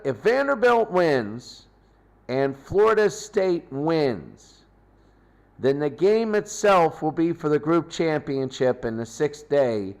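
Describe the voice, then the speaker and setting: A man hosting an unscripted sports recap, talking in halting short phrases as he thinks aloud. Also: a little high. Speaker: host recapping football tournament results.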